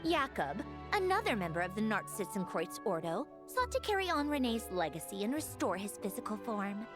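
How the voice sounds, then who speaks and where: A woman playing a haughty, sharp-tongued, self-assured young woman in a video game, articulate and guarded. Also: mid-range voice, very animated, brisk, natural voice. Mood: serious, explaining. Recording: game dialogue over music.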